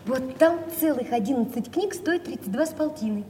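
An actress playing a kind, earnest young woman in a theatrical audio play, speaking politely and gently. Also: sits high, animated, normal speed. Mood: polite, concerned. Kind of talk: theatrical stage dialogue. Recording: echoey hall with faint noise.

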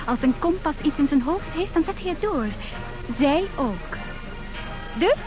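High-voiced headstrong young heiress. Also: female voice, expressive, measured pace, low-quality recording. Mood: insistent, indignant. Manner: insistent, theatrical, imperious. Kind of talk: old Dutch radio comedy acting. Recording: old radio play, music behind.

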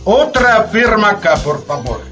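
A man's voice: sits high, sing-song, a little slow, far from the mic, music in the background. An actor playing a polite but insistent foreign machinery salesman in a satirical radio drama. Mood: slick, pushy. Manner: polite, persistent sales patter.

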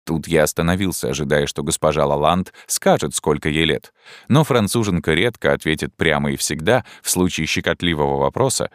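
A man reading a literary story aloud as an audiobook narrator, with expressive, measured delivery and drawn-out emphasis. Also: sits low, no room sound, clean studio sound.

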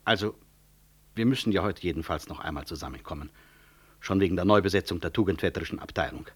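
Proud, low-voiced, ironic hospital director. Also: male voice, fast, breathy edge. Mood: stubborn, wry. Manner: dry, ironic, courteous but blunt. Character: proud, stubborn male physician and hospital director. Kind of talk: 1960s German radio drama acting.

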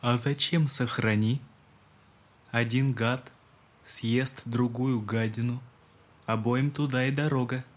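An actor playing a cynical, contemptuous brother in an audio drama, with a cool, controlled and disdainful delivery. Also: male voice, medium pitch, steady tone, slow pace, pauses often, close to an everyday voice.

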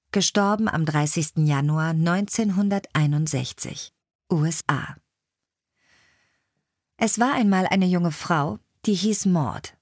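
A woman's voice: low voice, no echo, studio quality. A woman reading scripted biographical stories as an audiobook narrator.

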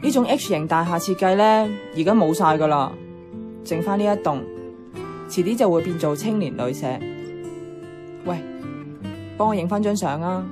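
A woman's voice: fairly low pitch, normal speed, pauses often, music in the background, close to an everyday voice. An actress playing a nostalgic, adventurous young woman in a radio drama, chatting with a Hong Kong Cantonese accent. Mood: chatty nostalgic. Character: nostalgic, playful, adventurous young woman revisiting her childhood neighbourhood.

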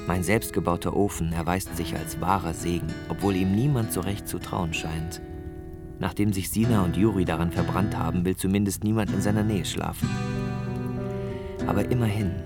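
An actor playing a quietly weary diarist, narrating calmly and with measure in a radio drama. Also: male voice, low-pitched.

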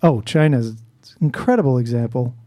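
A man's voice: mid-range voice, brisk, no echo. A man in his seventies, co-hosting a radio show with casual, hesitant, rambling banter and frequent laughs. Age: 70s. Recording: loud guitar music behind.

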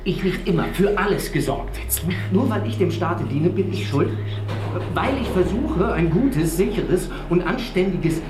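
A man's voice: high voice, expressive, measured pace, roomy sound, music in the background. An actor playing a stern, status-minded statesman husband who speaks formally and reproachfully in a theatrical drama. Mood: indignant. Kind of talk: theatrical German stage drama dialogue.

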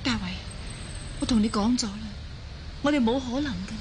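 Radio drama heroine: actress as tender but firm young Hong Kong woman, sorrowful, high and clearly emotional. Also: moderate pace, darkish tone, a little hiss or hum, lightly acted. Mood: guarded, firm. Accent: Hong Kong Cantonese. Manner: earnest, emotional. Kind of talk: Cantonese radio drama acting. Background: faint music.

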